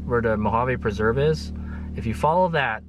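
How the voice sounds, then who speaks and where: A man's voice: mid-range voice, moderate pace. Casual man vlogging over a noisy hum. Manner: chuckles often, ends phrases with "okay". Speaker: motovlogger. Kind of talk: casual rambling trip recap to camera. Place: inside a parked vehicle.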